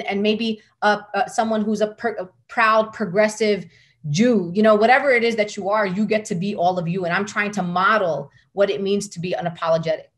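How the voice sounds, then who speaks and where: A middle-aged woman from the United States, a guest speaking passionately and emphatically over a video call from a room at home. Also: medium pitch. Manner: passionate, emphatic, repeats words when building a point. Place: home room with curtains behind. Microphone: laptop webcam on video call.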